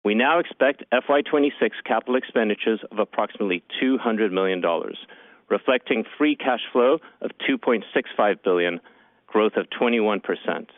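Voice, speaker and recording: Guy with a lowish voice reading company results, measured and formal, dry sound over a conference phone line. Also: no echo. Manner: formal, measured delivery of figures. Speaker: company finance executive. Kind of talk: scripted earnings call remarks.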